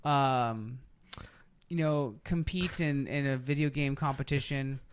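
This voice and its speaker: A man's voice: mid-range voice, fairly even intonation, a little slow, a little hiss or hum. A man chatting casually as a podcast host, rambling and opinionated in a geeky, slangy way. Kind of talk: casual podcast chat about movies.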